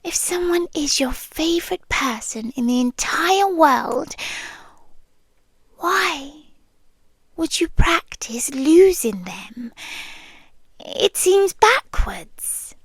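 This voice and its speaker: Slow, whispery British yandere girlfriend creature, woman acting. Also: high voice, expressive, no echo, heavily acted. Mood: intense, devoted. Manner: soft, deliberate, often dropping into whispers. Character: possessive yandere girlfriend, nonhuman tailed treasure keeper. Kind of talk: ASMR roleplay.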